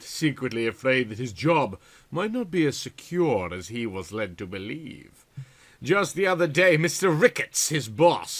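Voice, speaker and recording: Animated, bright-voiced man reading melodramatically. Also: mid-range voice. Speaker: audiobook reader. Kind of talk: theatrical comic reading of a novel chapter.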